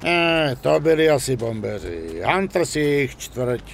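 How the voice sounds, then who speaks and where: An actor playing a gruff, wily middle-aged stolen-car dealer with a gravelly drawl in a crime game. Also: male voice, medium pitch, sing-song, a little hiss or hum. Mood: appraising, dismissive. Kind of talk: dubbed crime game dialogue.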